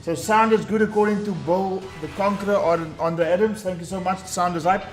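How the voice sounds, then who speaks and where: A man with a booming voice hosting a live tournament stream, hyped and talking straight to his chat. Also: steady tone, a little fast. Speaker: tournament host. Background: faint background music.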